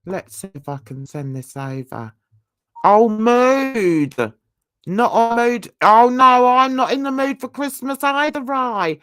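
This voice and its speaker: A woman's voice: fairly high pitch, lively intonation, slow pace, fairly bright tone, dry recording, studio quality. A woman, chatting casually with livestream viewers in a rambling, self-mocking way and laughing often. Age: 60s. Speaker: livestream host.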